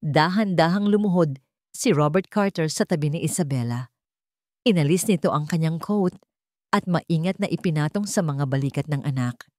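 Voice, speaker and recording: A woman's voice: sits low, normal speed, darkish tone, dry recording, studio quality. A woman narrator reading a scripted romantic drama in a dramatic storytelling style.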